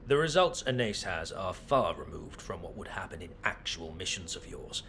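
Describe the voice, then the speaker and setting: A man's voice: low voice, very animated, normal speed, a bit bright, slight background noise, full character voice. An actor playing a haughty, scornful male scholar in a video game, speaking in a formal, theatrical, disdainful manner. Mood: scornful, mocking. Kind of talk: scripted video game voice acting.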